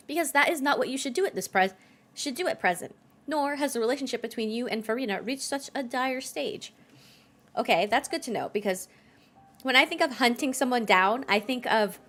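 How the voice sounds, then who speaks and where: A woman's voice: fairly high pitch, fairly bright tone. A woman game streamer giving casual, playful live commentary and reading bits out.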